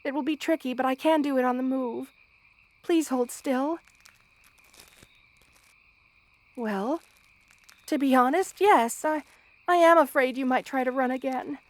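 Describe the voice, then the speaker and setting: A woman playing a gentle, motherly, centuries-old spider queen in an ASMR roleplay, speaking in formal, measured, soothing phrases. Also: sits high, no echo, close to an everyday voice. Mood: reassuring. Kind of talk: ASMR roleplay, intimate scripted monologue to listener.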